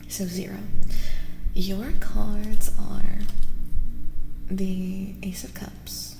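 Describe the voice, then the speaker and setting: Soft spoken asmr tarot lady, little bit creaky, whispery, echoey reverb w music behind her. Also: mid-range voice, background noise. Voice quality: soft, breathy, often dropping to a whisper. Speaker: livestream host, tarot reader. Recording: reverberant echo with music underneath.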